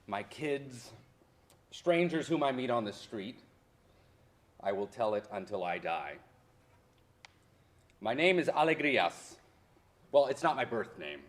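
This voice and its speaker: A man's voice: medium pitch, lightly acted. A man playing a reflective college history professor who reminisces about lost parents in a staged-reading monologue.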